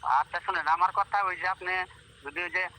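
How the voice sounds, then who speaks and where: A man's voice: sits high, fairly even intonation, normal speed, pushed and bright. A man with a Bangladeshi accent speaking earnestly and insistently on a phone call, defending himself. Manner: earnest, insistent, addresses listener as brother. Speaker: local journalist. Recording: phone call with faint noise.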